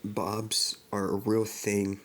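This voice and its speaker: A man's voice: fairly low pitch, completely flat delivery, takes it slowly. A man playing a nervous young survivor of a monster attack, stammering and hesitant as he recounts it. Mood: shaken. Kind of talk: horror parody monologue.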